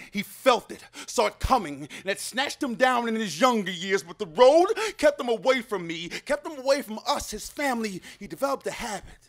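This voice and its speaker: A man with a strikingly high voice performs slam poetry. His delivery is rhythmic and dramatic, with emphatic phrasing throughout. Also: fairly bright tone. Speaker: slam poet. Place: live poetry slam on stage.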